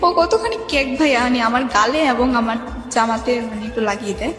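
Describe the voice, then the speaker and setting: High-pitched young Bangladeshi woman in echo. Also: fairly quick, a bit bright. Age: young adult. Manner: rambling, often quotes remembered dialogue. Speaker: guest telling her life story. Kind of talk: informal personal storytelling. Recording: reverberant hall echo, music behind.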